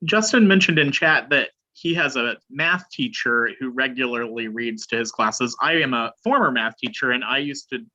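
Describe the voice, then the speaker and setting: A man with a very sharp, forceful voice at medium pitch asks questions in an online educators' discussion. His call audio is a bit lo-fi. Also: moderate pace, few pauses. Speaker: educator and former math teacher.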